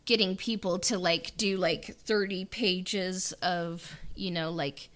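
A woman's voice: fairly low pitch, fairly even intonation, slow pace, fairly bright tone. A woman in a casual, unscripted chat about her creative work, rambling and peppering her talk with "like" and "you know". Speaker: podcast guest and audio drama writer-director.

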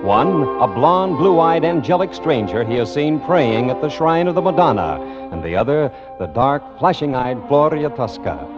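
Male vintage radio announcer: polished, formal, very animated pitch, on a dull old broadcast recording with music underneath. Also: normal speed. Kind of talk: mid-century American radio announcing, scripted narration and ad read.

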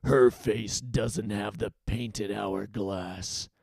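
A man voicing a gruff, bullying guard in an audiobook, with a menacing growl and snarl. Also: medium pitch, sing-song, moderate pace, mellow, no echo, studio quality, lightly acted. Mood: menacing, scornful. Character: gruff, bullying thug guards and their captain.

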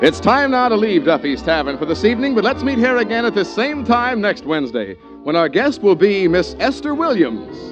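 A man's voice: sits high, big pitch swings, a little fast, hardly any pauses. A man announcing in 1940s radio style with a polished, emphatic salesman delivery. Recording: dull lo-fi old radio broadcast. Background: music.

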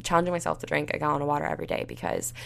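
Raspy young woman, low voice, tired, casual solo podcast talk full of fillers. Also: little pitch movement, very fast, a bit bright. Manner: rambling, many fillers like "like" and "you know". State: tired and dehydrated. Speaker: podcast host.

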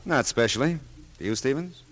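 An actor playing a wry, world-weary private detective who narrates and talks in a deadpan radio drama style. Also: male voice, medium pitch, moderate pace, no echo, natural voice. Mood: dry, probing. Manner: deadpan, hesitant asides, dry wit.

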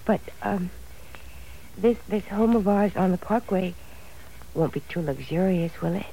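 A woman playing a devoted young bride in an old radio drama, soft and shyly affectionate. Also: lowish voice, unhurried, poor audio. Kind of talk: 1940s radio acting. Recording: faint hiss of an old radio recording.

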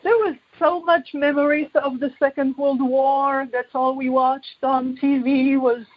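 A woman tells her life story as an interview guest, reflective and halting as she searches for words. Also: sits high, fairly even intonation. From Poland. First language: Polish. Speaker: interview guest, artist and author. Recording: muffled, echoey room recording.

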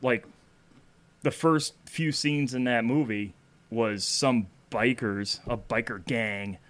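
A man's voice: medium pitch, slow, fairly bright tone. A man in his forties hosting a podcast in loose, casual interview chat, swearing freely. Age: 40s. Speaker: podcast host.

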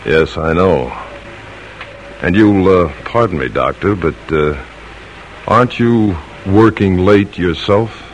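An actor playing a loyal, modest colonial silversmith in an old radio drama, speaking in a plain, unhurried, matter-of-fact way. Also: male voice, low voice, slight background noise, low-quality recording. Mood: steady.

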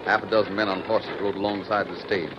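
A man's voice: low-pitched, level tone, quick pace, lightly acted. A man narrating a radio western in a dramatic, scripted storytelling style. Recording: muffled, noisy vintage radio recording.

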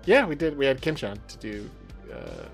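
A man with a Californian American accent chatting casually as a podcast co-host, halting and often correcting himself as he goes. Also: medium pitch, wildly swooping pitch, gravelly, faint music behind. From Southern California, USA.

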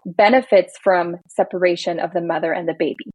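Woman attorney guest, earnest explainer, breathy-husky tone, dry studio sound. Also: lowish voice, steady tone, a little fast, darkish tone, dry recording, rough recording. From Belgium. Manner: earnest, explanatory, speaks in long building lists. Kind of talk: casual podcast interview.

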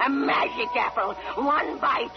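A woman's voice: sits high, unhurried. An actress playing a wicked queen disguised as an old witch, sly and coaxing, in old-time radio fairy-tale style. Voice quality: cackling, aged witchy voice. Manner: wheedling, sinister sweetness. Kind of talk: 1930s-40s radio fairy-tale acting. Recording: muffled vintage radio recording with music.

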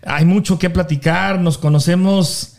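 A man hosting a podcast, chatting casually and warmly with slang and many fillers. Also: high voice, fairly even intonation, measured pace, gravelly. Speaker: podcast host. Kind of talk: casual interview chat.

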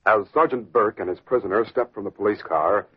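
A man's voice: fairly low pitch, fairly quick, breathy edge, soft background music. A man narrating an adventure serial in a dramatic 1940s radio style. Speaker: announcer and narrator. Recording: tinny narrow old radio recording.

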